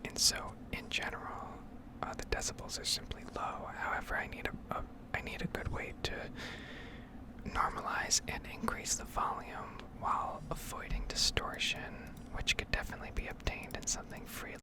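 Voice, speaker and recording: A woman whispering in a heavy, breathy voice close to the microphone, rambling casually and hesitantly as in an ASMR gameplay video. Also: moderate pace, rough recording.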